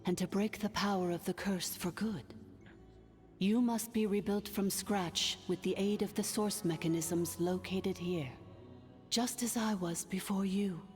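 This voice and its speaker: A woman's voice: medium pitch, fairly even intonation, a little mellow, close to an everyday voice. Woman as a reverberant, solemn machine ruler. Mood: grave. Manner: formal, measured, grave exposition. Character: solemn ancient female artificial intelligence ruler. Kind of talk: video game voice acting. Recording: long cavernous reverb, music underneath.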